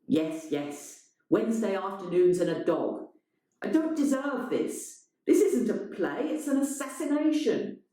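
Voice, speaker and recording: A woman's voice: mid-range voice, expressive, measured pace, dark tone, voice pushed up, close to an everyday voice. A woman voicing an angry, sneering man in a dramatised short story, indignant and accusing. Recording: strongly echoing, roomy sound.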